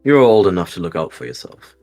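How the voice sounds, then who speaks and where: A man performs a menacing older warrior cat for an animal roleplay drama. The voice sits very low and stays composed and cold, as if nothing bothers him. Faint music plays underneath. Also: sing-song, a little fast, a little mellow. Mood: cold calm. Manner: unbothered, coolly composed. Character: calm, cold male warrior cat, an evil mentor. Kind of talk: fan roleplay audio drama with narration. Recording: music behind.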